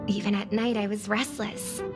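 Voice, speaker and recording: An actress playing a gentle, wistful young singer in an anime game, with a soft, tender, breathy delivery. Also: female voice, mid-range voice, moderate pace, over music, natural voice. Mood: serene. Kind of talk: scripted anime game voice acting.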